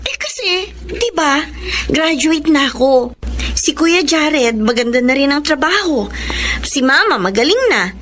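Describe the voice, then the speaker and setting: High-pitched cheerful young Filipina sister. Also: female voice, expressive, measured pace, a bit bright, slight background noise. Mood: hopeful pleading. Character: cheerful devoted younger sister, fresh college graduate, early twenties. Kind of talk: Tagalog radio drama acting.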